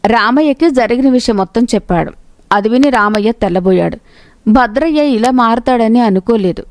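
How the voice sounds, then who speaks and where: A woman's voice: a little high, normal speed, darkish tone, no room sound. A woman reading a scripted moral story as its narrator.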